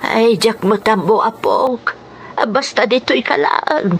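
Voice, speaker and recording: A woman's voice: on the high side, animated, takes it slowly, slightly rough, heavily acted. A woman playing a firm, soothing old grandmother in an Ilocano radio drama, with an Ilocano accent. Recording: music under the voice.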